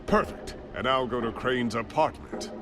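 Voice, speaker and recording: An actor playing an arrogant, impatient rich bully in a video game, with a British accent and a sneering, condescending manner. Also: male voice, mid-range voice, moderate pace, music in the background, close to an everyday voice.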